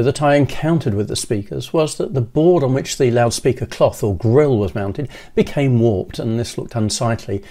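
An older man in his sixties giving scripted how-to narration in a measured, methodical way, as a presenter. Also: mid-range voice, dark tone. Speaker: presenter of an electronics how-to video.

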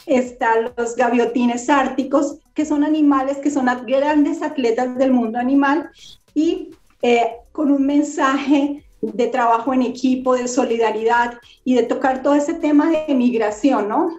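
A woman from Bogotá speaks as an interview guest, her voice a bit high and her manner earnest and reflective. Her laptop mic picks up a roomy, echoing space on the video call. The sound is dull and lo-fi. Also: measured pace, darkish tone. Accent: Bogotá Colombian. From Colombia. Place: home room indoors.

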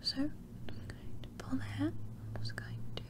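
A woman whispering softly in an ASMR roleplay as a barber, gently and politely talking through each careful step. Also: moderate pace.

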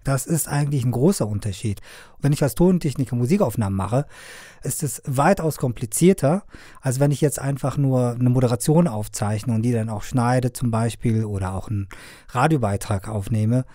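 A middle-aged man from Afghanistan speaks in an unhurried, conversational way. He often pauses and hesitates with fillers. His tone is mellow and his voice sounds dry and close, as if recorded in a studio. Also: mid-range voice, dry recording. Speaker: sound engineer and media trainer. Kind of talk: conversational interview answers.

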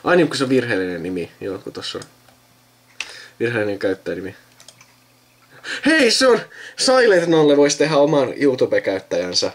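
A man's voice: mid-range voice, wildly swooping pitch, pauses often. Rambling young man chatting casually. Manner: rambling, trailing off mid-sentence. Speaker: video host recording himself with a friend. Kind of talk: casual chat while thinking aloud. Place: indoors at a computer.

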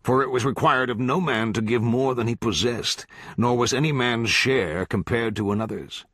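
A man voicing an eloquent, menacing judge in an audiobook, coaxing and grandiose, with deliberate, archaic phrasing. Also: medium pitch, a bit bright, slightly rough, no room sound, studio quality, pitched above the performer's own voice. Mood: grave preaching. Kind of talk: literary audiobook character voice.

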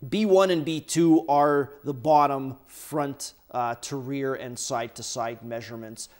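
Casual man explaining at a workbench. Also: a little high. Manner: casual, explanatory, talks while handling parts. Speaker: workshop host. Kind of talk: hands-on how-to explanation. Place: indoor workshop bench, overhead camera.

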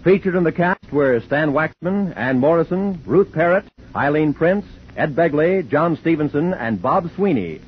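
An American man announces in scripted 1950s radio fashion. His voice sits a little high with lively, swinging intonation. The vintage recording is muffled, with faint noise. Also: normal speed, few pauses.

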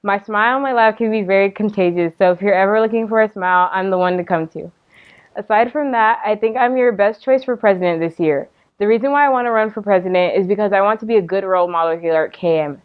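A woman's voice: mid-range voice, quick pace, clean tone, phone-quality sound. A teenage American girl giving a prepared campaign speech as a student candidate. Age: teenager, about 14. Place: indoor studio, seated.

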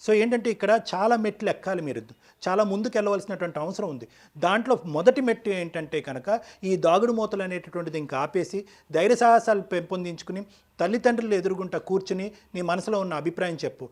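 A man's voice: high voice, quick pace, darkish tone. A man counseling in a firm, didactic way as an advice show counselor, dropping English phrases into his speech. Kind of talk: advice-giving counseling talk.

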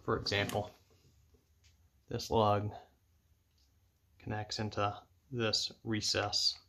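Low-voiced man giving instructions, noisy recording. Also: completely flat delivery, slow, sharp tone. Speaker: instructor demonstrating equipment setup. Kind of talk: step-by-step instructional narration. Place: indoors at a tabletop. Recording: clear background noise with clicks.

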